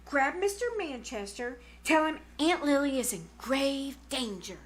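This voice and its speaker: Very high, melodramatic teenage girl. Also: lively intonation, unhurried. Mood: urgent, bossy. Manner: gushing, exclamatory, theatrical. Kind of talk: 1940s radio comedy acting. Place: live on stage in a small theater.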